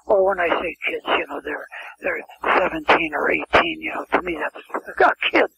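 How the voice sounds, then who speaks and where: A man's voice: a little high, normal speed, vocal fry, bright, forceful tone, slightly rough, no room sound. An older man telling rambling anecdotes as an interview guest, often restarting and wandering into asides. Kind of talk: casual storytelling interview. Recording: muffled lo-fi phone-quality sound.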